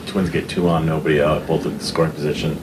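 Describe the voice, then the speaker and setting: Man, extremely low voice, sports reporter, distant from mic, noisy press room. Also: level tone, quick pace. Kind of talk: press conference questions.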